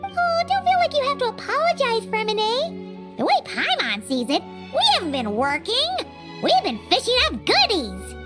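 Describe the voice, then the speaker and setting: A woman voicing a small, cheerful, childlike fairy companion in a game dub, with a squeaky, perky delivery. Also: very animated, normal speed. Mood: cheerful, encouraging. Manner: perky, refers to self in third person. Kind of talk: English anime game dub acting. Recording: loud music behind the voice.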